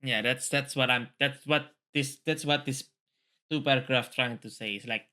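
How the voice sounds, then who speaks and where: Male, very bright forceful voice, read-along host, casual, repetitive. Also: medium pitch, fairly even intonation, moderate pace. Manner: rambling, repeats phrases. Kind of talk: book reading mixed with casual commentary.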